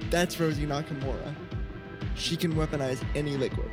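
A man's voice: fairly high pitch, fairly even intonation, moderate pace, close to an everyday voice. An actor playing a casual, slightly unsure teenage boy in conversational audio fiction, with music behind the voice. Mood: casual, curious. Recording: music under the voice.

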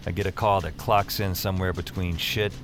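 A jaded 911 night-shift dispatcher acted by a man with a lowish voice. He talks in wry, slangy deadpan, as in a scripted audio drama, with music clearly behind him. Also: brisk, lightly acted. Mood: sardonic. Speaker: lead actor in audio fiction.

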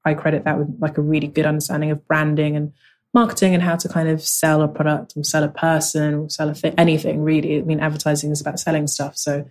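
Low, even-voiced woman. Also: fast talker. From Nigeria, raised in South London. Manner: relaxed, many fillers like "kind of". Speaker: interviewee, writer. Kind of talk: reflective interview talk.

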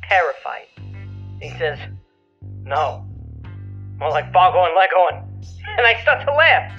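An actor playing an excitable tipline caller, a slangy, foul-mouthed man telling an incredulous monster yarn in an audio drama. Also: high-pitched. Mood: suspenseful. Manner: slangy, sweary, incredulous storytelling. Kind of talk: audio drama monologue as voicemail. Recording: lo-fi radio-like phone message, music behind. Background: music.

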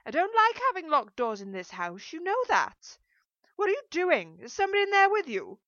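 A woman voicing an overwrought, demanding mother in an audiobook, shouting and wailing in a thick, nasal voice. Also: very high-pitched, huge pitch swings, takes it slowly, no echo, dull audio, voice pushed up, heavily acted. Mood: suspicious, shouting.

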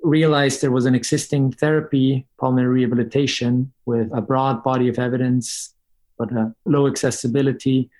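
A man's voice: mid-range voice, little pitch movement, fairly quick, darkish tone. A man from Switzerland, a podcast guest explaining things in a methodical, conversational way. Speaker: guest, company co-founder. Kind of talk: conversational interview answers.

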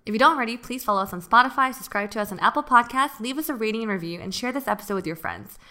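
A woman's voice: fairly high pitch, expressive, rapid-fire, pushed and bright. A woman co-hosting a podcast, easygoing and upbeat in casual chat. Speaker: podcast co-host.

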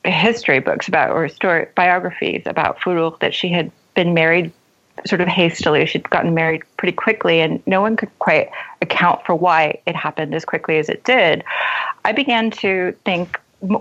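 A woman's voice: fairly low pitch, moderate pace, a bit bright, dull audio. A woman author speaking as an interview guest, articulate and thoughtful as she reflects on writing.

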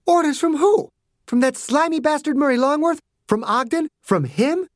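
A man voicing a small, fierce woman doctor who shouts, swears and accuses, in an audiobook. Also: very high-pitched, wildly swooping pitch, a little fast, husky, no room sound, clean studio sound, pitched above the performer's own voice, exaggerated voice. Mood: furious.

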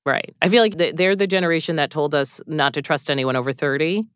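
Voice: mid-range voice, fast, fairly bright tone, smooth, no room sound, clean studio sound. A radio host guiding an interview, asking questions in a conversational way.

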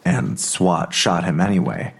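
A man acting a weary, cranky middle-aged investigator in an audio drama, terse and dry, with a gravelly, husky voice. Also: deep voice, very animated, measured pace, full character voice. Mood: dry, probing.

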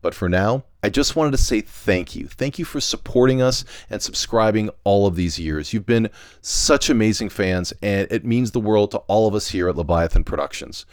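A man's voice: fairly low pitch, moderate pace, darkish tone, no echo, clean studio sound. A man who hosts a podcast, giving an upbeat, scripted announcement to listeners with enthusiasm. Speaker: podcast creator and host.

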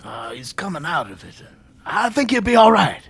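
A man playing a weary old male jockey who talks slowly and drops into hushed whispers. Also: high-pitched, very animated, creaky, slightly rough, heavily acted. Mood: concerned. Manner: slow, dropping to hushed whispers.

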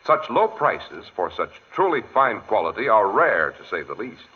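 Male 1940s radio announcer, polished and theatrical, very varied pitch, dulled old broadcast sound. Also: very animated, normal speed. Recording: muffled vintage 1940s radio recording.

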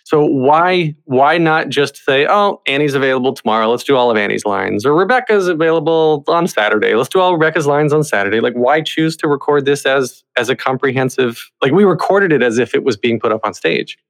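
A man hosts a podcast interview in a voice of middling pitch. He asks his questions conversationally, feeling his way and rewording them as he talks. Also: expressive, a little fast, hardly any pauses, fairly bright tone, poor audio.

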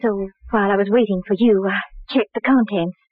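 A woman plays a wealthy, guarded wife in a radio mystery, on a thin vintage recording with little room sound. Her delivery is poised and measured, at a middling pitch. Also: no room sound, phone-quality sound, natural voice. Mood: composed, explaining. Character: restless, guarded wealthy wife of murdered millionaire. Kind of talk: old-time radio crime drama acting.

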